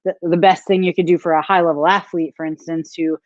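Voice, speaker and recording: A woman's voice: on the low side, fairly even intonation, fast talker, a little mellow, no echo, highs cut off. A woman, a strongwoman and nutrition coach, talking thoughtfully with many fillers on a video call. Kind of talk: casual video call interview.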